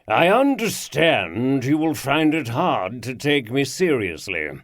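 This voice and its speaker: A man's voice: very animated, no room sound. A man doing a pompous aristocratic lord with a drawl. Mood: theatrical. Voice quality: rich baritone, aristocratic drawl. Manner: slow, grand, theatrical announcing.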